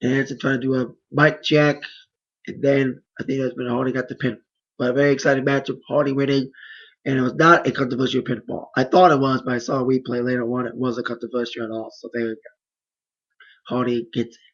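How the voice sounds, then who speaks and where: A hoarse man giving a casual, rambling play-by-play recap of wrestling matches. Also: medium pitch, level tone, brisk, dull audio. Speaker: wrestling reviewer.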